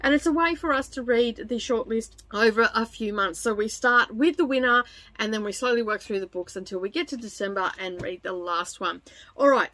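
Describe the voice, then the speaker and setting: A middle-aged woman with a fairly high voice presents a book vlog in a casual, chatty way. The sound is dry and close, with little room echo. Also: a bit bright. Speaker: book channel host.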